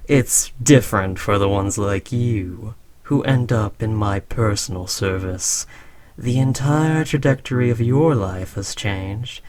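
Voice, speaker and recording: A man's voice: mid-range voice, lightly acted. A man playing a smug, condescending yet indulgent alien overlord who conquered Earth, speaking to a servant in an imperious, teasing way in a roleplay audio drama. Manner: unhurried, imperious, teasingly patronizing.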